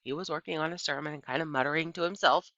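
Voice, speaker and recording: A woman's voice: low voice, quick pace, sharp tone, dry recording. A woman narrating a children's book expressively in a dry, slightly dull home recording. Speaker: story reader.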